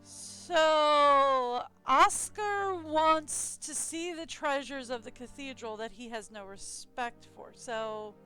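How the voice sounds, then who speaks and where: High-voiced chatty woman streamer. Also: slow pace, sharp tone, soft background music, poor audio. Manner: chatty, sarcastic, self-mocking. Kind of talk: live game playthrough commentary.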